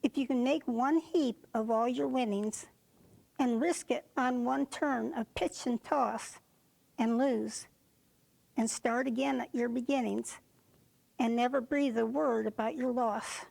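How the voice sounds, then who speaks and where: Woman, high voice, creaky edge, poetry recital, deliberate phrases with pauses. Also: fairly even intonation, darkish tone, rough recording. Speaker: poetry reader. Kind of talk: reciting a poem for reader's theater.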